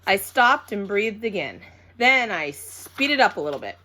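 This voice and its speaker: Animated woman reading a children's story. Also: mid-range voice, measured pace. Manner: childlike, emphatic, dramatic phrasing. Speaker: storybook reader. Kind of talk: animated scripted children's narration.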